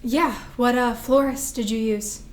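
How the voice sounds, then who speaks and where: A woman's voice: fairly high pitch, fairly even intonation, a little slow, natural voice. A woman playing a wounded, prickly younger sister in grief, in a staged play reading. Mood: subdued.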